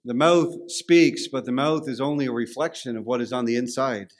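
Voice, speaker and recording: A man's voice: medium pitch, fairly even intonation, normal speed. A man with a Canadian accent preaching a sermon in a conversational, storytelling way. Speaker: pastor.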